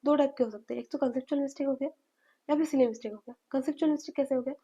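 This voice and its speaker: A young woman with a high yet mellow voice explains study tips in a hesitant, filler-heavy way. She sounds slightly hoarse from a cold. The recording is dull and lo-fi. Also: steady tone, rapid-fire. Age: young adult. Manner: hesitant, mixes in English, many fillers. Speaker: medical student giving study advice. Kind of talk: casual advice to viewers.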